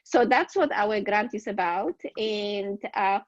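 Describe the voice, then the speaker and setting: A woman with a Polish accent presents her project in a webinar, pausing often on fillers and restarting her phrases. Her voice comes through a dry, dull video call line with no room sound. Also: mid-range voice, dry recording. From Poland. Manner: halting, many fillers and restarts. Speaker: university dean, guest grantee.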